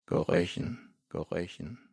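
Deep gravelly hypnotist man, slow and soft. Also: no room sound, studio quality. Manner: slow, soft and lulling, with long pauses and repeated words. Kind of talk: guided self-confidence hypnosis.

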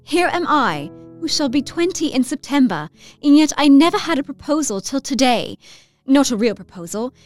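An actress playing an excitable, romantic Victorian girl of nineteen, reading her letter effusively in an audio drama. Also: high voice, moderate pace, faint music behind. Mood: giddy delight. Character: excitable, romantic nineteen-year-old Victorian young lady.

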